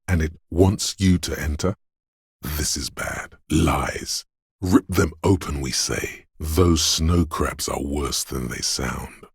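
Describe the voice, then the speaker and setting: An actor playing a menacing, paranoid inner voice of fear and aggression in a game, narrating slowly and ominously in a husky, gravelly voice. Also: male voice, extremely low pitch, no echo, clean studio sound, heavily acted. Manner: ominous, slow, deliberate delivery. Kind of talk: dramatic second-person game narration.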